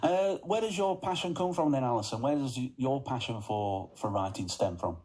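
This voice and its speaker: A man with a mid-range voice hosts a local radio interview from the studio. He asks his questions in an upbeat way, now and then pausing on an "uh". His tone stays friendly and conversational. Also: mid-range voice, lively intonation, darkish tone. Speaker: radio presenter. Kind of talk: drive-time radio interview.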